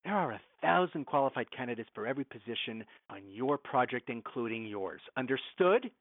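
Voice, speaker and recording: An actor playing a domineering, evasive film studio executive in an audio drama, condescending and clipped, heard over a thin phone line. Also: male voice, mid-range voice, lively intonation, brisk, no room sound. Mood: stern, commanding. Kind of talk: modern audio drama acting.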